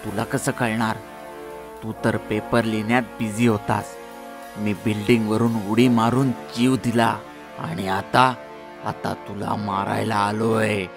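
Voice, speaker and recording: A man playing the menacing ghost of a wronged student in a horror story, taunting with a gravelly voice. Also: on the low side, flat delivery, slow pace. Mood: gloating menace. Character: vengeful young male ghost of a wronged student. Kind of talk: dramatised horror story acting. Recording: loud music behind the voice.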